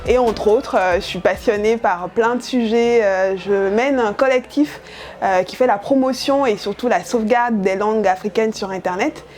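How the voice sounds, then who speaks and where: A woman's voice: medium pitch, moderate pace, a little mellow, faint music behind. A young woman whose first language is Yoruba, speaking earnestly and thoughtfully about her work as a journalist, with frequent hesitant fillers. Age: young adult. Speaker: journalist and editorial project lead.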